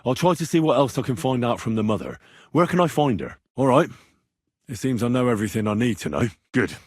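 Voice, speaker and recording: A man's voice: mid-range voice, lively intonation, brisk, darkish tone, no room sound, studio quality, natural voice. A man playing a stubborn, earnest young man in a video game, speaking plainly and probingly as he investigates. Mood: businesslike. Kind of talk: video game character dialogue.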